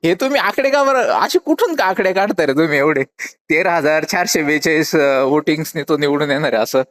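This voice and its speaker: A man's voice: on the high side, animated, brisk, a bit bright, no room sound. A man with a Maharashtrian accent, speaking informally as an election candidate. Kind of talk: informal phone call and spoken public statement.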